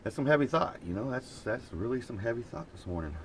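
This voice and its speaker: A man's voice: medium pitch, lively intonation, fast, slight background noise. A man from Oklahoma with an Oklahoma accent, rambling in a folksy, casual live chat from an old camper van while reading viewer comments. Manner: rambling, folksy, laughs often.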